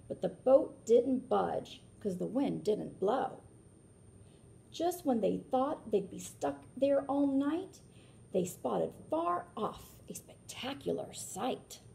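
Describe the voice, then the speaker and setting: A woman reading a children's picture book aloud like a teacher, animated, with explanatory asides and questions to her listeners. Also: a little high, gravelly.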